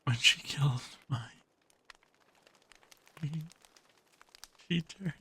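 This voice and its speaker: A man voicing a frightened small hybrid jackalope creature in an audio drama, speaking haltingly in a raspy, creaky voice. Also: mid-range voice, bright, forceful tone, full character voice. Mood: halting, grieving. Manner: halting, timid, stammering.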